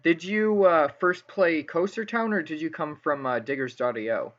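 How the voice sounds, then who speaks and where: A man's voice: fairly high pitch, normal speed, fairly bright tone. Casual male interviewer, muffled lo-fi sound. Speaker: interviewer, gaming channel host. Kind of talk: casual gaming interview chat. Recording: dull lo-fi voice chat.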